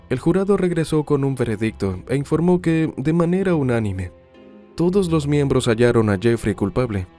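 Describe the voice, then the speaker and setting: A man narrating a scripted true-crime documentary in a formal, measured storytelling manner. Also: mid-range voice, dark tone.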